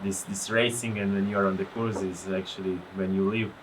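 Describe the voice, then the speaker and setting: A man with a Croatian accent and a fairly low voice, speaking as a guest on a casual podcast. He tells his story in an animated way, often pausing to search for the right word. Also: noisy background. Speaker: guest, pro windsurfer.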